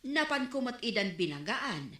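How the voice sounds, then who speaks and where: A woman's voice: fairly high pitch, sing-song, moderate pace, echoey room. A woman playing an exasperated village woman in a comedy radio drama, speaking with an Ilocano accent and a scolding manner. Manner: scolding, loud, shouting outbursts.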